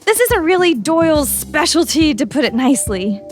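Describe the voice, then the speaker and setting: A woman's voice: high-pitched, very animated, moderate pace, faint music behind. A woman playing an earnest, pushy teenage student journalist in an audio drama, with an insistent, sassy manner. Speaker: voice actor.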